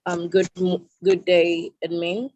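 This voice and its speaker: A woman's voice: fairly low pitch, level tone, takes it slowly, darkish tone, no echo, muffled recording. A woman with a Nigerian accent, a prospective student politely asking formal questions in an online meeting, often rephrasing them. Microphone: video call.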